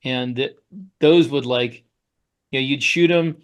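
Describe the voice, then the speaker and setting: A man's voice: medium pitch, a little slow, clear, resonant tone. A middle-aged man co-hosting a podcast, telling anecdotes in casual conversation. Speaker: podcast co-host.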